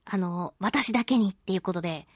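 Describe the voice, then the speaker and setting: A woman's voice: mid-range voice, brisk, breathy edge, dry recording, clean studio sound. A woman hosting a chatty solo radio show, reading and responding to listener mail in a playful, exclamatory way. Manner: playful, exclamatory, giggly, expressive interjections. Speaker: radio show host, voice actress.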